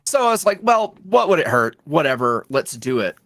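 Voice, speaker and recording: A man is chatting casually as an interview guest. His pitch moves around with lots of animation while he rambles in a wry, self-deprecating way. The sound is dry, with little room on it. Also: big pitch swings, brisk, a bit bright, no room sound. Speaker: podcast guest, ASMR roleplay creator.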